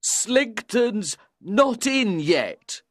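Drunk young man in an audiobook, loud n bossy, really slow slurry drawl. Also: fairly high pitch, very animated, dry recording, studio quality, voice pushed up, exaggerated voice. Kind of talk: audiobook character voice.

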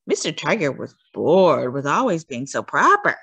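A woman's voice: low voice, big pitch swings, moderate pace, fairly bright tone, breathy edge, dry recording. A woman reading a children's story aloud expressively, stretching words out for theatrical emphasis.

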